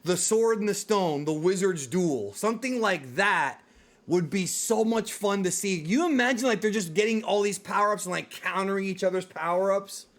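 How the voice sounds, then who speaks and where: A man with a notably high-pitched voice chats casually as a show host. His delivery is animated and slangy, like an enthusiastic fan talking with a friend. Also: normal speed. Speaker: host of a video game movie discussion show. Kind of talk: casual, excitable fan chat.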